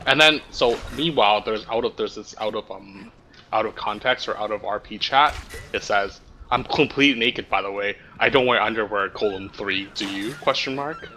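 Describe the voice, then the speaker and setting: Bright, forceful man with a lowish voice. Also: normal speed. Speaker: story reader. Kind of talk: reading chat roleplay aloud to friends. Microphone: online voice chat microphone. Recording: faint background noise on voice chat.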